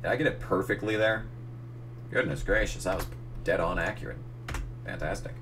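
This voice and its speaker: A young man losing his voice, casually narrating a photo edit, muttering and swearing at the software. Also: deep voice, normal speed, background noise. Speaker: gaming channel host. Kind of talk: casual tutorial commentary while editing.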